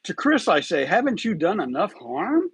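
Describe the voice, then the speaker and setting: A man with a husky, fairly high voice delivers a scripted commentary monologue to his online audience. His pitch swings widely as he leans on rhetorical questions, and the recording is dry and close. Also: sing-song, moderate pace, no echo. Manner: emphatic, rhetorical, keeps asking "you feel me there?". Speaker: YouTube channel host.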